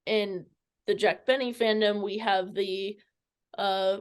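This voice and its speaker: A woman's voice: medium pitch, fairly even intonation, slow pace, smooth. A woman interviewer asking casual questions, hesitant and searching for her words.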